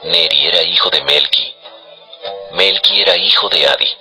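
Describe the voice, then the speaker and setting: A man with an extremely low voice narrates a dramatized Bible story. His delivery is measured and declarative, with a crisp, forceful edge, and faint music plays beneath him. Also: rough recording.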